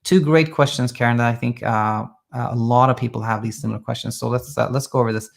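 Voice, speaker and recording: Sweden-raised man, gravelly mid voice, calm coach answering listener questions. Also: medium pitch, fast talker. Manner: calm, reassuring, thinks aloud with restarts. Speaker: sleep coach. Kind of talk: live Q&A advice talk.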